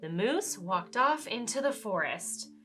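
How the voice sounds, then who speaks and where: A woman reading a children's storybook aloud in an expressive way, speaking directly to young listeners. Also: mid-range voice, a little slow, soft background music. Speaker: children's read-aloud host. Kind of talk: animated children's storybook narration.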